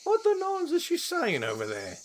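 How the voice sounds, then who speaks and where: A man's voice: very high voice, wildly swooping pitch, moderate pace, a little hiss or hum, exaggerated voice. A man playing a brash, playful monkey-folk warrior who likes his drink, crude and slangy, in a fantasy audio drama. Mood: casual, curious.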